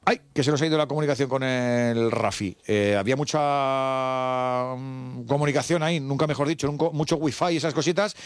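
A man with an accent from Spain hosting a live sports radio phone-in with animated, playful banter. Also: mid-range voice, normal speed, no echo. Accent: Spanish, from Spain. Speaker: radio host.

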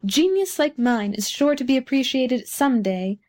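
A conceited storybook firework played by a woman reader holds forth in a fairly high voice. He is pompous and condescending, forever boastful and very pleased with himself. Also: very animated, a little slow, darkish tone. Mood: smug, boastful. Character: vain, haughty, boastful male firework rocket. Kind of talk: storybook character reading.

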